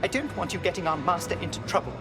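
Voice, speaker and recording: High, fussy, fretful droid. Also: male voice, animated, fast talker, loud music behind the voice. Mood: fussy worry. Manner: fretful, scolding, prissy. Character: fussy, anxious, prim male protocol droid. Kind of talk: radio drama acting.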